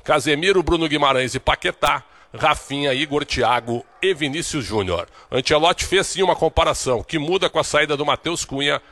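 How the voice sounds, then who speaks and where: A man from Brazil giving a recorded sports report in a steady, informative manner. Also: fairly high pitch, brisk.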